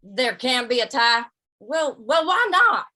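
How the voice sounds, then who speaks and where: A woman's voice: sits high, sing-song, takes it slowly, bright, forceful tone, heavily acted. A woman playing an easygoing, laconic male cowboy in an old-time western radio drama, with a folksy, hesitant, stammering delivery. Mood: uneasy.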